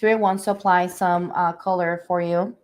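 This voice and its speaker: Soft-spoken, slightly hoarse woman. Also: lowish voice, fairly even intonation. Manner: soft, unhurried, many fillers. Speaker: energy healer giving readings. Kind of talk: live-streamed spiritual reading. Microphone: phone or webcam on a livestream.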